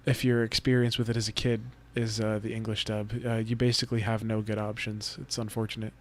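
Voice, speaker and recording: A man's voice: lowish voice, little pitch movement, brisk. A man in his twenties co-hosting a podcast, chatting casually with lots of fillers and crude jokes. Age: 20s. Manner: laid-back, filler-heavy, crude joking. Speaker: podcast co-host. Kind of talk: casual chat.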